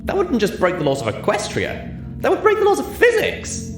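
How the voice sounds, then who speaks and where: A man's voice: high voice, wildly swooping pitch, fairly quick, reverberant, over music, voice pushed up. A man voicing a brash, boastful, tipsy female pegasus pony in an audiobook-style reading, full of giggly bravado.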